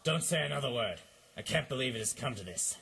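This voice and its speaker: An actor playing a pompous, self-important, dim detective, melodramatic in a 1950s-style radio drama. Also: male voice, medium pitch, expressive, quick pace, vocal fry, pushed and bright, breathy edge, heavily acted. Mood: indignant.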